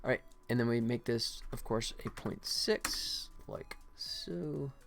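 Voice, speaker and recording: A man with a mid-pitched voice talks casually on a livestream as he works. He is chatty and rambling, often thinking out loud, with music and some typing behind him. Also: takes it slowly, creaky. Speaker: livestream host and solo indie game developer. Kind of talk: casual livestream chat while working.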